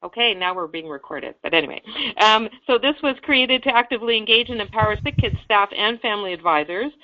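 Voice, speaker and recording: A woman's voice: medium pitch, lively intonation, fast talker, slight background noise. A woman hosting a webinar, introducing speakers in a hesitant way with many fillers and restarts. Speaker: webinar host. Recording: dull lo-fi webinar audio.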